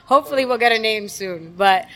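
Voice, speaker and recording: A woman's voice: a little high, lively intonation, brisk, a bit bright, a little hiss or hum, rough recording. A thirty-year-old woman with a Miami American accent, chatting candidly and jokingly as a guest. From Miami, USA. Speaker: podcast guest, chef and restaurant owner. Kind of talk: casual interview chat.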